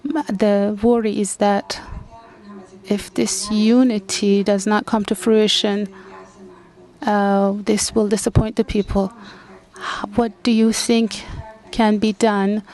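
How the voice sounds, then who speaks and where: Slow, gravelly woman asking a question. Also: medium pitch, level tone, a little mellow. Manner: slow, halting, many fillers. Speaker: audience member asking a question. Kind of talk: audience question at a panel.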